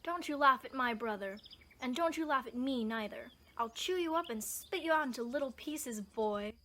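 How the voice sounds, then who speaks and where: An actress playing a bossy, feisty tomboy older sister with a folksy, blunt way of talking in a radio drama. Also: female voice, high-pitched, animated, moderate pace, sharp tone, slight background noise. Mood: fierce.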